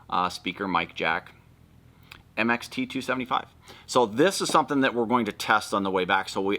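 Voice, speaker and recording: A man's voice: lowish voice, pushed and bright. A man hosting a casual product walkthrough, explaining things informally and step by step. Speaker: video host.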